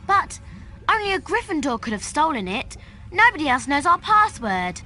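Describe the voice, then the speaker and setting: A woman's voice: high-pitched, big pitch swings, normal speed, pushed and bright, faint music behind, heavily acted. A woman playing an earnest, bookish young schoolgirl witch in a video game. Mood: thoughtful. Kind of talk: video game cutscene voice acting.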